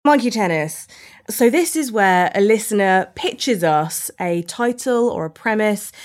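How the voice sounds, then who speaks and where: A woman, hosting a podcast in a chatty interview style. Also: mid-range voice. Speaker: podcast host.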